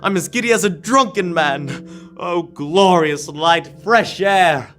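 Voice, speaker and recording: A man's voice: high voice, takes it slowly, fairly bright tone. A young man playing an old reformed miser giddy with joy, in exclamatory old-time radio drama style. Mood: giddy, joyful. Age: teenager. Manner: exclamatory, theatrical. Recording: live radio play with music.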